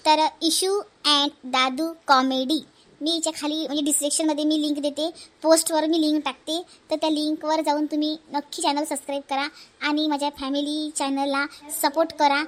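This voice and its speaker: A woman's voice: high voice, flat delivery, normal speed. A woman hosting her cartoon channel, addressing viewers casually with emphatic, repeated requests. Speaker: cartoon channel creator and host.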